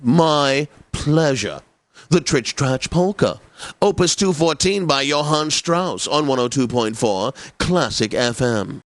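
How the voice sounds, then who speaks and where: An actor playing a pompous, genteel classical radio DJ in a video game, announcing in a precise and theatrically obliging manner. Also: male voice, mid-range voice, lively intonation, slow pace, no room sound. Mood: stately, smooth announcing. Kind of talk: scripted radio continuity announcing.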